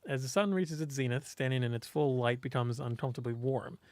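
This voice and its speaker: A man reading a visual novel aloud as host, in expressive scripted narration. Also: mid-range voice, a little fast, no echo.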